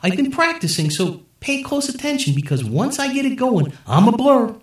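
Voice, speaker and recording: A man voicing a blustering, unhinged male president who swears through a shouting tirade. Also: extremely high pitch, very animated, normal speed, roomy sound, pitched above the performer's own voice. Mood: cocky.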